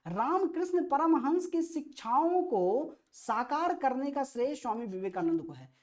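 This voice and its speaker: A woman's voice: on the high side, a little mellow. A woman with an Indian accent teaching an exam-prep lesson, reading out questions and explaining answers with emphatic repetition of key phrases. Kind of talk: quiz-style history lesson, questions read out and explained.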